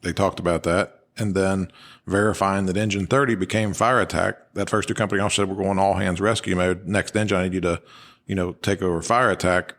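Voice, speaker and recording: A man's voice: low voice, steady tone, brisk, fairly bright tone. A man co-hosting a podcast, explaining and analyzing things in an informal, conversational way. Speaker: podcast co-host. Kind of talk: conversational analysis of firefighter radio traffic.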